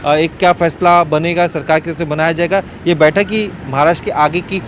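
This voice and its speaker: Guy reporting live from outside, highish voice, kinda halting, bit of street noise behind. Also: fairly even intonation. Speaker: field news reporter. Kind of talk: live unscripted news report. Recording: faint outdoor street and traffic noise.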